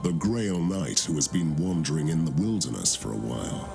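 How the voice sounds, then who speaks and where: Grim knight narrator for a fantasy game: extremely low, haughty, expressive, music underneath. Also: male voice, moderate pace, exaggerated voice. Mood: grim, proud. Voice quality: deep, gravelly. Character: proud, grim male knight recounting events. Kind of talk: scripted dramatic narration.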